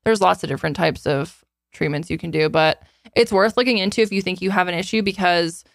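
A woman of twenty-seven chatting casually and candidly as a podcast co-host, informal and quick to swear. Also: on the low side, vocal fry, dry recording, studio quality. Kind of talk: casual chat answering listener questions.

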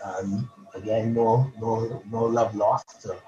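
A man from Barbados, a poet guest in a casual interview, talking haltingly with many restarts and repeated words. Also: lowish voice, steady tone, mellow, highs cut off, soft background music.

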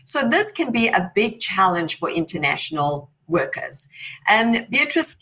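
A woman's voice: mid-range voice, very animated, unhurried, bright, forceful tone. A woman hosting an interview, asking questions with frequent ums and easy laughs. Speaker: podcast host.

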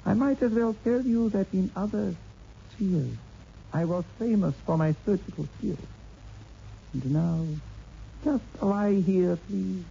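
An actor playing a sinister, spellbinding surgeon who coaxes and commands deliberately, in 1960s radio horror style. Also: male voice, high-pitched, gravelly, dark tone. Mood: silky menace. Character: sinister spellbinding surgeon coaxing his victim. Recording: muffled, noisy vintage radio recording.

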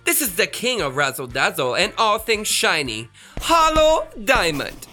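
A woman's voice: medium pitch, sing-song, measured pace, sharp tone, faint music behind. A woman voicing a flamboyant, bossy, self-adoring radio star in a children's comedy audio drama, with a theatrical manner. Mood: showy, bossy. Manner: theatrical, exasperated, showy.

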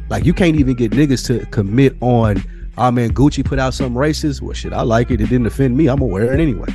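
A low-voiced man in a casual podcast discussion, blunt and opinionated. He swears freely and pushes his argument with rhetorical questions. Music plays clearly behind him. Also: a little mellow. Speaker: podcast co-host.

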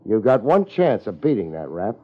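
An actor playing a cool, wry private detective in a 1950s American radio drama, with a laid-back, clipped way of talking and an American accent. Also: male voice, fairly low pitch, sing-song, moderate pace. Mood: cool, bargaining. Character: cool, hard-boiled male private detective. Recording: muffled old radio broadcast.

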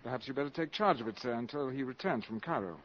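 An actor playing a smooth, cunning valet with polite, measured, formal speech in a 1950s radio drama. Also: male voice, mid-range voice, animated, natural voice. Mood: deferential, composed. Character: smooth, cunning valet, deferential yet secretly arrogant. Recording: muffled 1950s radio broadcast.

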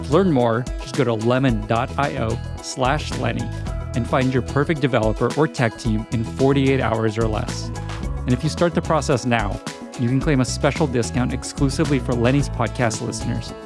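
A man's voice: mid-range voice, moderate pace. Man reading ad spots over guitar music. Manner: smooth scripted ad delivery. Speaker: podcast host. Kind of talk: read ad spots and interview questions.

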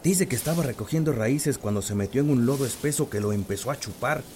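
An actor playing a fearful villager who warns the priest about danger on the hill. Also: male voice, medium pitch, fast, darkish tone, a little hiss or hum, lightly acted. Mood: worried.

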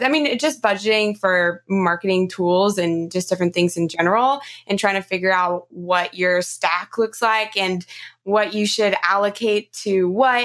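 A woman's voice: medium pitch, a bit bright. A woman hosting a podcast interview in a casual, effusive way, repeating words for emphasis. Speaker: podcast host.

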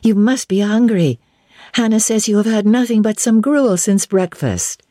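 A woman voicing a kindly but authoritative young Englishwoman in a classic novel reading, brisk, genteel and commanding. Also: mid-range voice, lively intonation, mellow, no room sound, studio quality, close to an everyday voice. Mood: gently insistent.

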